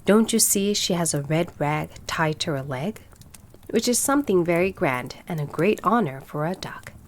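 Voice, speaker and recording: A woman's voice: lowish voice, lively intonation, normal speed, lightly acted. A woman voicing a fond, proud and bossy mother duck in a storybook, with a fussy, instructive manner. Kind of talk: storybook character voice.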